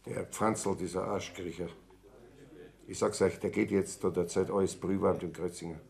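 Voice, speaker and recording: A man acting a scornful, gossiping tavern drinker with a creaky voice in a 1960s German radio play. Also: sits low, level tone, normal speed, darkish tone.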